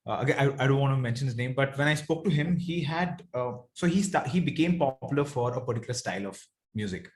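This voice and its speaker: A man with a South Indian accent talks as a guest in an interview. His voice sits at a medium pitch. He is reflective and informal, often checking in with the listener as he explains. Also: expressive, fast. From Bengaluru, India. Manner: reflective, checks in with "you know" and "right". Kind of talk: casual interview conversation.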